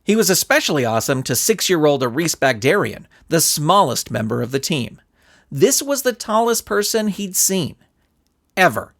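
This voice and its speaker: A man reading a scripted story aloud in a theatrical, expressive storytelling manner. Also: a little high, moderate pace, creaky. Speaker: narrator and author reading his own story. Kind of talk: animated scripted audiobook narration.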